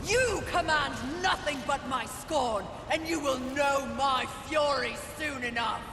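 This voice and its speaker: A woman's voice: high voice, measured pace, music in the background. A woman playing a proud, hot-tempered warrior horseman in a video game, imperious, scornful and declamatory. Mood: defiant anger. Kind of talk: dramatic video game acting. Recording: echoey reverberant hall, noise behind.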